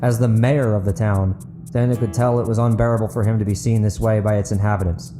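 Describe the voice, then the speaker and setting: Male podcast narrator and host, slightly low voice, story reading with guitar music clearly underneath. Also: fairly even intonation, fast talker. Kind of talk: audiobook narration and podcast outro.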